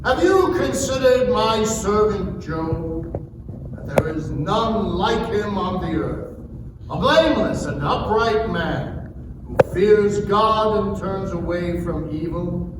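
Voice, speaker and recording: The Lord God, played by a man: solemn, commanding, slow, fairly high voice in a long, noisy reverb. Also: animated. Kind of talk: dramatic stage reading of scripture. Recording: noisy, reverberant hall, far from microphone.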